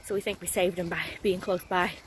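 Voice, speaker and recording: A woman vlogging her day in a casual, rambling way, full of ums, her voice hoarse. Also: on the low side, little pitch movement, brisk, noisy background. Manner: chatty, rambling with many ums. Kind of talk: casual daily vlog to camera. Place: outdoors on a rural road, wind.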